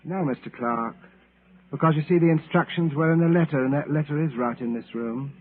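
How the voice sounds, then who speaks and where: A man's voice: fairly high pitch, fairly quick, slightly rough. An actor playing a timid, earnest, middle-aged wrongly accused man, hesitant and fussily polite, in 1940s radio drama style. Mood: quietly assured. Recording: noisy old radio recording, narrow band.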